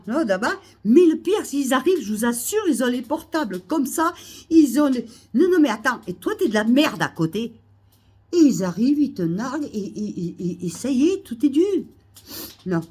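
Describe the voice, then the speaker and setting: A woman with a cold, coughing now and then, ranting indignantly to her followers, repeating herself and rising to loud outbursts. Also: fairly high pitch, lively intonation, moderate pace, slightly rough. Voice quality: hesitant restarts and fillers. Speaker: vlogger. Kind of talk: ranting monologue to camera. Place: Almeria, Spain.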